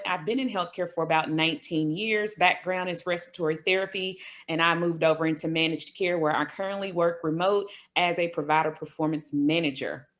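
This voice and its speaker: A woman's voice: lowish voice, a bit bright. A woman in her forties from Mississippi, introducing herself as a guest in an interview in an earnest, orderly way. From Mississippi, USA. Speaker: guest, healthcare worker.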